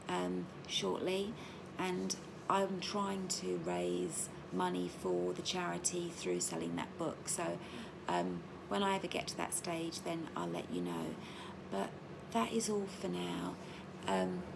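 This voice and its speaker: A middle-aged woman, in pain and tired, speaking slowly about her own illness in a casual vlog at home, halting with many ums and restarts. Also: fairly low pitch, gravelly, noisy background. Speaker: patient sharing her experience.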